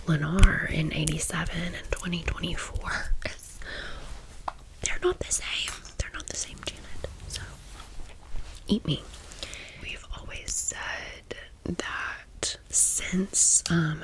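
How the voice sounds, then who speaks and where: A woman of thirty-five speaking in a breathy whisper, chatting informally and confidingly to the listener as an ASMR host. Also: lowish voice, sharp tone, low-quality recording. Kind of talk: whispered ASMR storytime, casual chat.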